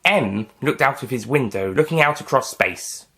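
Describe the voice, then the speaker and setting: Man narrating an audio story, medium pitch. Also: animated, moderate pace, vocal fry. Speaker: author and narrator.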